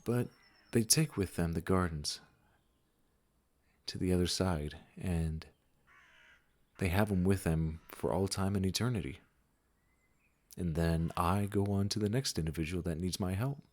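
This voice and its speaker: A man playing a gentle, wise supernatural gardener in a comforting audio roleplay, speaking soothingly and reassuringly straight to the listener. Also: deep voice. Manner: soothing, unhurried, reassuring, with soft chuckles.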